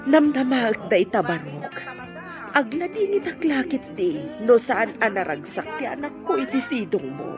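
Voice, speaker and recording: A woman playing a caring, gently worried older mother in an Ilocano radio drama, with an Ilocano accent. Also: fairly high pitch, very animated, moderate pace, dull audio, over music. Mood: heartfelt.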